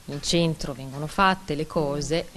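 Low-voiced woman shopkeeper, pauses to think, handheld mic. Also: fairly bright tone, slight background noise. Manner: thoughtful, pauses with hums. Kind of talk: interview answer. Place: small newsagent shop. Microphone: handheld interview mic.

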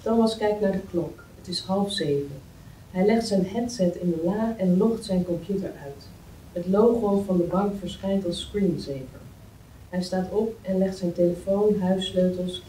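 Female table-read narrator, mellow delivery of scene descriptions, distant mic with faint noise. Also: fairly low pitch, normal speed, roomy sound. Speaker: script reader of stage directions. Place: meeting room, indoors. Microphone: far camera.

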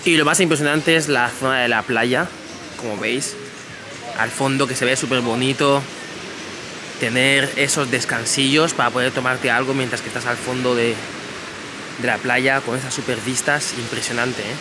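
A young man talks straight to his camera in a relaxed, upbeat way, walking around outdoors. He sounds like he is in the middle of a travel vlog, with a clear music bed playing under him. His pitch sits right in the usual middle range. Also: normal speed, rough recording. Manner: chatty, upbeat, addresses viewers as family. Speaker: vlogger. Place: outdoors at a beach resort and a busy market.

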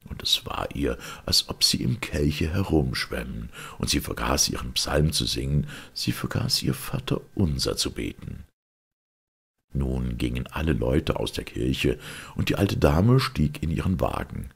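A man narrating a fairy tale as an expressive audiobook reader, with a gravelly, very husky voice. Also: rumbling low, a little slow.

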